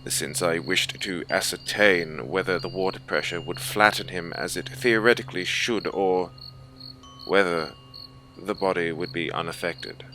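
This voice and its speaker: A man as a very slow, deep-voiced haughty officer. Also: sharp tone, exaggerated voice, poor audio. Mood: grave, detached. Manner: formal, measured, grave. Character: cold, haughty German naval officer recounting a horror. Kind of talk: scripted audiobook horror narration. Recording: clear ambient noise bed behind voice. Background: ambient soundscape.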